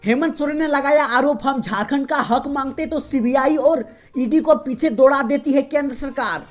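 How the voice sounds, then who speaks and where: A woman reading the day's regional news as a host, in a steady, formal newsreader delivery. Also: high-pitched, normal speed. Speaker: news reader. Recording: faint music behind voice.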